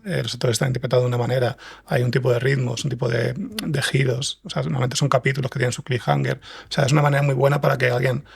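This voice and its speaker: Man, mid-pitched, husky, gravelly creak, interview guest explaining. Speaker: podcast guest, scriptwriter and fiction podcast creator.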